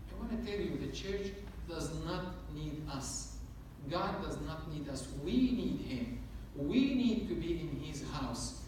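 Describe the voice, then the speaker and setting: A man's voice: fairly high pitch, lively intonation, slow, darkish tone, far from the mic. Man, priest, earnest sermon, very echoey church, faint hum behind. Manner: earnest, repetitive preaching cadence.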